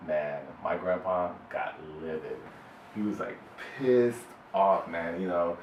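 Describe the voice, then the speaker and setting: Low-voiced man telling a story. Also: muffled recording, slight background noise. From El Salvador. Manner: halting, relaxed, many fillers and restarts. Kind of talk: unscripted personal storytelling. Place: Houston interview space. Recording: roomy distant mic with faint ambience.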